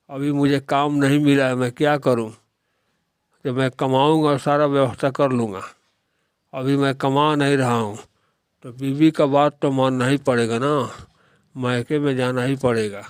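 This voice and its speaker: A man's voice: mid-range voice, fairly even intonation, a little slow, pauses often, clear, resonant tone, no echo, studio quality, natural voice. A man playing a meek young husband in a moral-story cartoon, submissively making excuses and justifying himself. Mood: meek, defensive.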